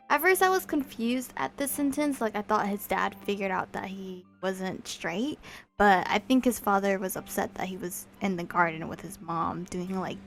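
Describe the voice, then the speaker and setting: Young woman reading a visual novel aloud. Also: mid-range voice. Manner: chatty asides, apologetic, expressive reading. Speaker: let's play host.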